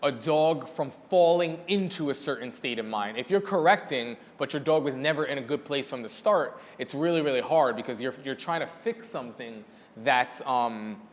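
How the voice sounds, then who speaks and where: A man's voice: mid-range voice, lively intonation, moderate pace, in a large hall. A man who trains dogs, answering viewer questions in a casual teaching style and repeating words for emphasis. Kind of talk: casual instructional Q&A.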